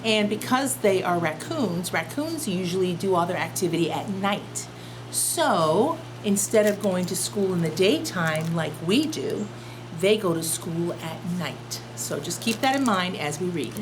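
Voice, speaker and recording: A woman's voice: on the low side, animated, unhurried, background noise. A woman teacher reading a storybook aloud to young children, expressively and with simple explanations.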